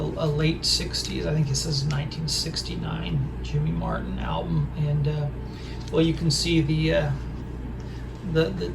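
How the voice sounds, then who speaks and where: A hoarse man with a raspy voice talks in a halting, hesitant way while showing off records he has collected. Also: on the high side, background noise. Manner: halting, hesitant, full of pauses. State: hoarse from a throat injury. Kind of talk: casual record haul show-and-tell.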